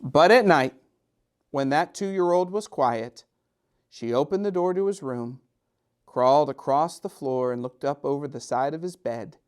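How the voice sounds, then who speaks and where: A man reading a tender children's picture book aloud as a gentle narrator, with a deliberate, lilting storytelling cadence. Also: a little high, clean tone, natural voice.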